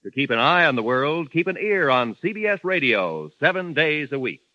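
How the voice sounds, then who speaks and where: Brief: male network announcer, bright and animated, dull vintage radio sound. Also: a little high, normal speed, no room sound. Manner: smooth, formal. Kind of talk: 1950s radio station promo. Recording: dull lo-fi 1950s radio recording.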